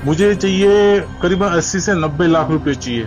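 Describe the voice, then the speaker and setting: A man's voice: sits high, normal speed. A man negotiating over the phone as a lawyer, explaining and repeating his points as he haggles. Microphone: phone call. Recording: dull lo-fi phone call, music underneath. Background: music.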